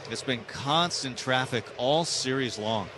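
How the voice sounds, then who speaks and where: A man's voice: medium pitch, a little slow, noisy background. A man with an American accent calling live baseball play-by-play on radio in short, clipped phrases.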